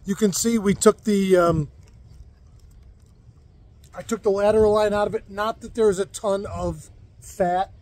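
Relaxed outdoorsy man with a mellow voice. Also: high-pitched, measured pace, pauses often. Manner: relaxed, rambling with fillers and restarts. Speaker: fishing show host. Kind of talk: casual outdoor chat and demonstration. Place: lakeside outdoors.

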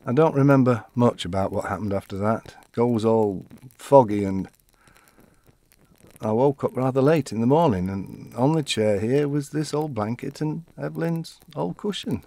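Elderly male widower, gentle and a bit fussy, acted by a man, mid-pitched, reflective audio-drama monologue with halting delivery, very dry sound. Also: gravelly, dry recording, natural voice. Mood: wistful. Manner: reflective, halting storytelling with animated quoting. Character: elderly widower, gentle, wistful, fussily defensive.

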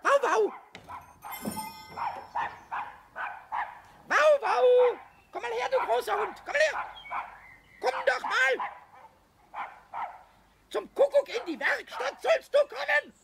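A man playing a mischievous, childlike kobold in a children's radio play, with a squawky, creaky voice, stammering, repeating himself and slipping into sing-song rhymes. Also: extremely high pitch, expressive, slow, long pauses, background noise, exaggerated voice. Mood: coaxing, excited. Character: mischievous, childlike little male kobold, tipsy and excitable.

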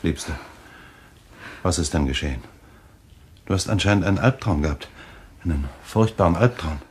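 A calculating, vengeful husband acted by a man in a thriller radio play. His voice is extremely deep and his phrasing measured, over faint background noise. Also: a little mellow, close to an everyday voice. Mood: soothing. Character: vengeful, calculating husband who staged a cruel charade.

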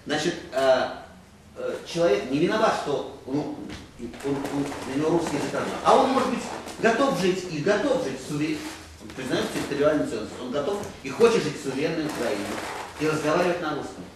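A man's voice: fairly high pitch, expressive, fairly quick. Man talking from across an echoey room. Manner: rambling, frequent "да?" tag questions, gesturing. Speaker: roundtable participant. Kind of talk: panel discussion. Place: conference room. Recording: echoey room from far off, noisy.

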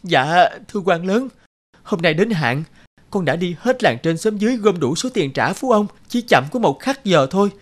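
A pleading, high-pitched poor peasant. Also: male voice, expressive, moderate pace. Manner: pleading, deferential. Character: poor, humble, anxious peasant father in debt. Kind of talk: animated folk tale dubbing.

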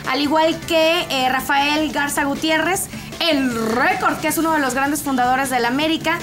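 A woman's voice: high voice, fairly even intonation, moderate pace. A young Mexican woman hosting a TV sports panel show, chatty and teasing as she reads out a list with asides. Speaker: host. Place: TV studio set with audience. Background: music.

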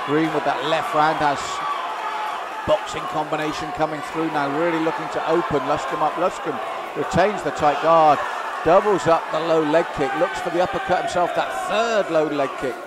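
A male fight commentator delivers live kickboxing play-by-play with excited emphasis and open admiration. His voice sits a little higher than average. It is recorded in a very noisy live venue, with a loud crowd behind him. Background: loud crowd and arena noise.

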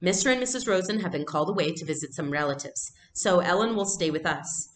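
A woman voicing a brisk, cheerful mother who hides her worry, in a reassuring storybook reading. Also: on the low side, animated, slight background noise, natural voice. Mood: brisk, coaxing.

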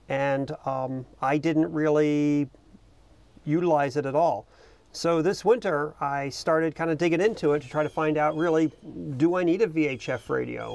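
A man's voice: on the high side, dry recording. A middle-aged American man explaining casually and informally, as a vlog host recording outdoors on a small boat. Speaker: boating video host.

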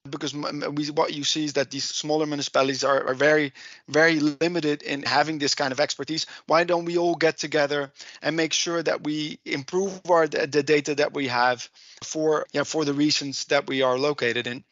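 A man's voice: on the high side, level tone, a bit bright, dry recording, muffled recording. A man with a heavy Dutch accent explaining project lessons in a podcast, often restarting his sentences. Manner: halting, many restarts and fillers. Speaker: project and policy coordinator.

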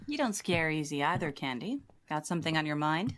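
A wry, tough police detective, played by a woman in a detective audio drama. The voice is low for a woman and moves a lot in pitch. The manner is gruff, suspicious and sardonic. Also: sing-song, brisk.

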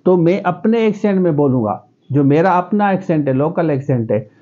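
A man's voice: on the high side, lively intonation, fairly quick, highs cut off. A middle-aged man from Pakistan with a Sindhi accent, a native Sindhi speaker, giving earnest advice to camera as an educationist in a small room. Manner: earnest, gestures with both hands. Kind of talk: direct-to-camera advice vlog.

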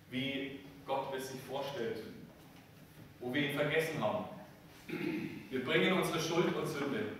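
A man speaking as a pastor, giving a solemn, measured liturgical address from the altar of a church. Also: a little high, a bit bright. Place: inside a church, standing at the altar. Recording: echoey church, distant, faint noise.

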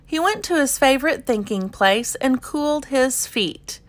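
Casting: female children's storybook reader, highish pitch, very lively intonation, expressive and slow-paced narration.

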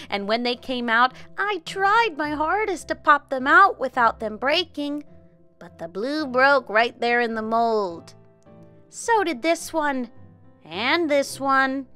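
A woman in her thirties hosting a children's craft show, narrating an experiment in an expressive, child-friendly way. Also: high-pitched. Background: background music.

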